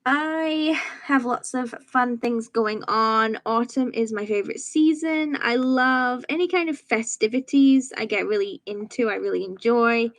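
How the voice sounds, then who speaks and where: A young woman from Edinburgh with a soft, somewhat posh-sounding Scottish accent, chatting casually and rambling during a crafting livestream. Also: on the high side, a little slow. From Edinburgh, Scotland. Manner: chatty, rambling, laughs easily, many "um"s and "like"s. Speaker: YouTube livestream host. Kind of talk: casual chat while crafting.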